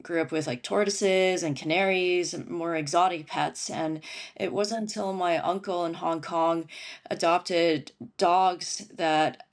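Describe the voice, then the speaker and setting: A woman who grew up in Syria and Lebanon talks as a guest in a casual interview. Her voice sits fairly low for a woman. She speaks through a home computer mic on a video call and laughs often between her words. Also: steady tone, slow pace. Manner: chatty, laughs often while talking. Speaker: guest, veterinarian and stand-up comic.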